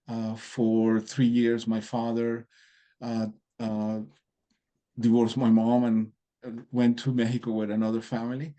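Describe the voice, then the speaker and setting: Mellow man. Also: mid-range voice. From Colombia. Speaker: participant in a group video meeting. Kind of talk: casual chat. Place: video call.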